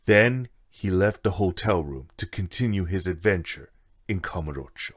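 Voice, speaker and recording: A man's voice: low voice, lively intonation, normal speed. A man narrating a scripted story in a plain, understated way.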